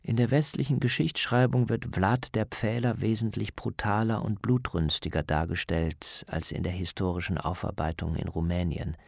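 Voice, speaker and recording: A man's voice: on the low side, fairly even intonation, normal speed, vocal fry, hardly any pauses, no room sound, clean studio sound. A man reading scripted narration as the reporter of a radio documentary.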